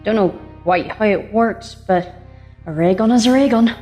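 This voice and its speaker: An actress voicing a pragmatic female space commander from Earth who speaks in a calm, dry, practical way. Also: mid-range voice, moderate pace, soft background music, natural voice. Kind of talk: audio drama acting.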